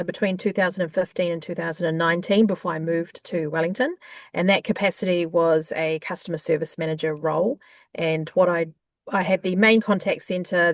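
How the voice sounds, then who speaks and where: A woman with a New Zealand accent, a guest in an interview, talking in a warm, chatty way with frequent fillers. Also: fairly low pitch, no echo. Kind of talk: conversational interview.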